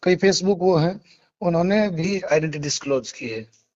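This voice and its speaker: A man speaking as a lawyer in a phone interview, explaining a case in halting, repeated phrases with polite acknowledgements. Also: sits high, darkish tone. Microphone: phone call. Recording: muffled, lo-fi phone call recording.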